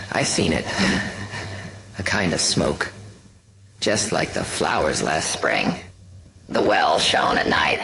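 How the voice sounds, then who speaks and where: A woman voicing a dying old farmer, dazed with horror, in halting rustic fragments and breathy croaks for a horror audiobook. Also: rumbling low, fairly bright tone, voice pushed down, full character voice. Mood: anguished, feverish. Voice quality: breathy, crackling whispers and croaks. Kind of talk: dramatic horror audiobook reading.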